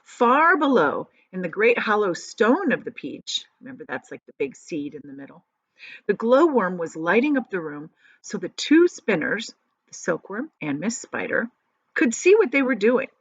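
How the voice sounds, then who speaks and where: Husky, expressive woman reading aloud. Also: mid-range voice, moderate pace, no echo. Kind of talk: children's storybook read-aloud with explanations. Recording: dull, lo-fi audio.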